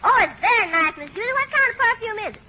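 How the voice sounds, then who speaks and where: Very high, piercing giggly maid. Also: female voice, expressive, fast, sharp tone, a little hiss or hum, exaggerated voice. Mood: giggly, good-natured. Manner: giggly, admiring, uses big words. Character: giggly, good-natured, loyal housemaid. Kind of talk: 1940s radio comedy acting. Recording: dull old radio recording.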